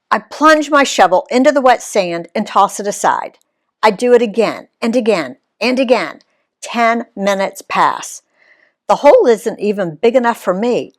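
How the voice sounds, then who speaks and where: A woman reading her own family's travel story aloud in the first person, playing up key words. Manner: expressive reading, pitch swinging on key words.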